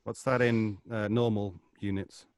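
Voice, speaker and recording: A man chatting on a live podcast in a precise, gently pedantic way. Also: on the low side, steady tone, measured pace, clean tone, no echo, studio quality. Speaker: voice actor guest on a live podcast. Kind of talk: casual group chat.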